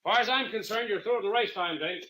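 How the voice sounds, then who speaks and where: Brisk, bright-voiced racing-stable boss. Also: male voice, high-pitched. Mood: businesslike. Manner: curt, businesslike. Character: brisk, authoritative racehorse boss. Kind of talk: old-time radio drama acting. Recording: noisy old radio recording.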